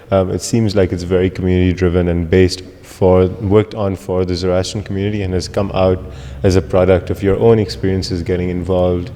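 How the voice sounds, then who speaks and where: Low-voiced man hosting a podcast. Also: mellow, big-room sound, a little hiss or hum. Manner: thankful, rambling questions with fillers. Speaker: podcast host. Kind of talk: interviewing a guest.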